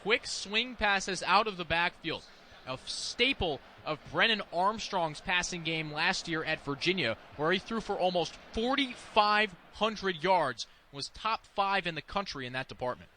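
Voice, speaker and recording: High, bright male football analyst over noise. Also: animated, measured pace. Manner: explanatory, opinionated. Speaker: color commentator. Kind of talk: analytical live football radio commentary.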